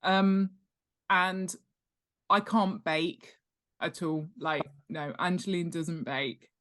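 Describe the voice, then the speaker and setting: Low-pitched woman, chatty interview guest. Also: fairly even intonation, slow, a bit bright. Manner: chatty, self-deprecating, humorous. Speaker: guest author and world-building expert. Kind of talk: casual interview chat.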